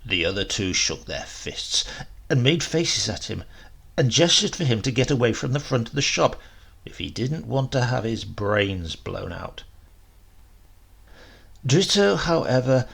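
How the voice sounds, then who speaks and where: Very gravelly, expressive male storyteller. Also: medium pitch. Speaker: audiobook narrator. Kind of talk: dramatic scripted storytelling.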